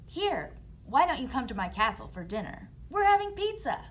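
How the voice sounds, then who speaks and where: Woman doing an animated, kind young prince. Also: high-pitched, normal speed. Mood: friendly, gentle. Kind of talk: children's storybook puppet acting.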